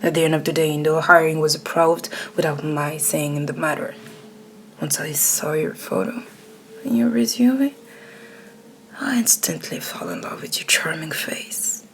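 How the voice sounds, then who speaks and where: A woman voicing a dominant, flirty, possessive male CEO in a breathy, often whispered, slow and smug manner for an ASMR romance roleplay. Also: deep voice, a little hiss or hum, pitched below the performer's own voice. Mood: smug, coaxing.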